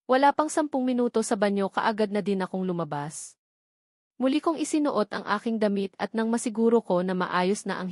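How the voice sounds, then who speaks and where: A woman's voice: mid-range voice, no echo. Woman narrating a romance story, dry close sound. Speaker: audiobook narrator. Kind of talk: scripted first-person romance novel narration.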